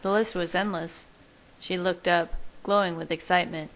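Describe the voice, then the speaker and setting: Lowish-voiced woman narrating a story. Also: steady tone, moderate pace, a bit bright. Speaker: amateur audiobook reader. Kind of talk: scripted first-person story narration.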